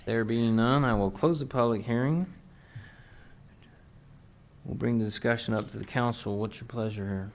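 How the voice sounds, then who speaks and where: Guy running a city council meeting, brisk and businesslike, calls motions and votes. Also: medium pitch, steady tone. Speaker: mayor chairing a council meeting. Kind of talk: formal meeting procedure.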